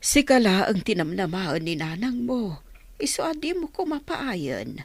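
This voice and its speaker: A woman's voice: mid-range voice, very animated, unhurried, no room sound. A woman playing an encouraging, expectant aunt in a radio drama, speaking with an Ilocano accent.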